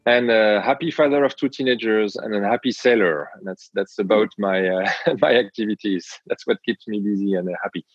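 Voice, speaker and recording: A man with a French accent, a guest on an interview podcast, speaking in a measured way with frequent pauses and hesitations. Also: fairly low pitch, no room sound, muffled recording. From France. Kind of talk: reflective interview talk.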